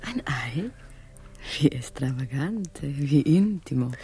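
A woman playing a coquettish, theatrically passionate Spanish queen in a comic radio play, breathy and suggestive. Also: rumbling low, lively intonation, slow, warm tone, slight background noise, full character voice. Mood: amorous.

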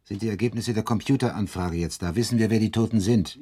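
Low-pitched, brusque detective. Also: male voice, fast talker, dark tone, husky. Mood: dry, businesslike. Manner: brusque, grumbling, authoritative. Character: gruff, dry, commanding male police detective, middle-aged son. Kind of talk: German radio drama acting.

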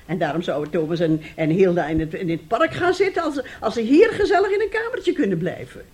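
An actress in a Dutch radio play playing a chatty, sentimental older aunt who rambles and exclaims. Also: female voice, medium pitch, sing-song, fast, a little mellow, lightly acted. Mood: wistful, flustered. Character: chatty, sentimental aunt reminiscing about old romance. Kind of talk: Dutch radio drama acting.

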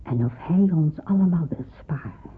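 A woman playing a devout, tender middle-aged aunt in a radio drama, husky and solemn, on an old narrow-band recording. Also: deep voice, lively intonation, moderate pace, heavily acted. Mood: tender, moved. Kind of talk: Dutch radio drama acting.

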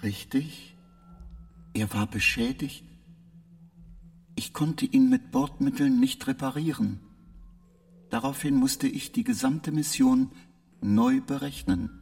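An actor playing a polite, halting and evasive artificial intelligence aboard a spaceship in a radio drama. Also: male voice, medium pitch, wildly swooping pitch, creaky, a little mellow, soft background music, exaggerated voice. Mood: calm, evasive. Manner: slow, measured, halting delivery with odd emphasis.

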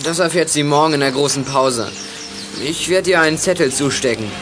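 An actor playing a shifty, menacing teenage drug courier in a youth radio play, talking in curt slang. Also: male voice, on the high side, brisk, over music, natural voice. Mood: menacing, wary. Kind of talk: 1980s German youth radio play acting. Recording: noisy old radio play recording.

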